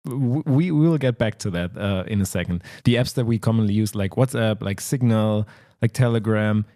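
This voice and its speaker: A man's voice: lowish voice, moderate pace, mellow, no echo, studio quality. A man hosting a conversational podcast interview, inquisitive and recapping things in plain words. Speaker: podcast host.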